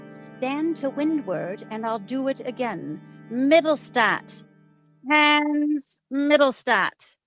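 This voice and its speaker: A woman's voice: high voice, expressive, slow, a bit bright, soft background music, heavily acted. A woman playing a breezy, flirtatious female private eye, trading quips in a 1940s-style radio drama. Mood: wry. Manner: flip, teasing banter. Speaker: radio drama lead and narrator. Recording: slightly dull lo-fi recording.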